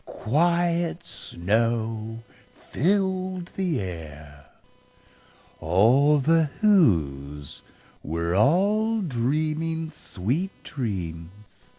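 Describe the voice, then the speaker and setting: A man's voice: on the low side, a little mellow, slightly rough. A middle-aged man reading a children's storybook dramatically, drawing out words in a theatrical way. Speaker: amateur storyteller reading for family.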